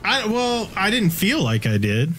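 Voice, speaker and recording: A man's voice: high voice, very animated, a little slow, fairly bright tone, slight background noise. A man playing a video game and commenting casually on it, grumbling and swearing in exasperation. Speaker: video game player, co-host. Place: indoor room, webcam setup.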